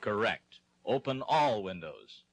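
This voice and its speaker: A man with a husky voice narrating a training film in a scripted, instructional style. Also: fairly low pitch, sing-song, slow.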